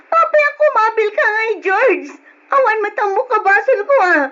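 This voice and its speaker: An actress playing a long-suffering, pleading wife in an Ilocano radio drama, plaintive and emotional. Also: female voice, extremely high pitch, lively intonation, moderate pace, pushed and bright, exaggerated voice. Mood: pained pleading. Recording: dull, lo-fi old radio drama recording.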